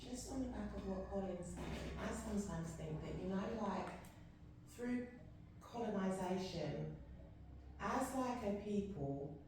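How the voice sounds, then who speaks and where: A woman's voice: medium pitch, unhurried. Earnest woman, distant in an echoey room. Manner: earnest, making a steady argument. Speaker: discussion participant. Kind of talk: casual discussion. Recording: echoey room, off-mic, faint noise.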